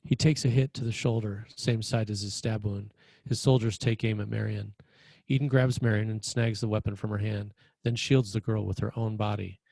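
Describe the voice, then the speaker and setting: Dark-voiced man narrating a screenplay. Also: on the low side, steady tone, moderate pace, gravelly, no room sound. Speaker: stage-direction narrator.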